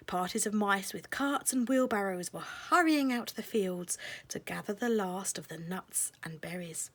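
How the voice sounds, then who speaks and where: Woman reading a kids story aloud, measured and expressive, phrases trail off soft. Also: medium pitch. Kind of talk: scripted children's storybook narration.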